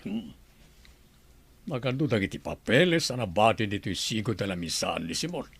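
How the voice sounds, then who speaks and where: A man acting as a fatherly, middle-aged governor in an audio drama. He is earnest and measured, with a lively range of pitch and a principled air. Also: husky, dry recording, heavily acted. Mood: calm, authoritative.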